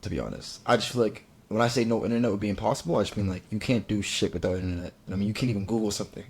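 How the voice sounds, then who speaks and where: A man's voice: fairly low pitch, very fast, slightly rough. A young man in casual podcast chat, slangy and rambling, full of fillers.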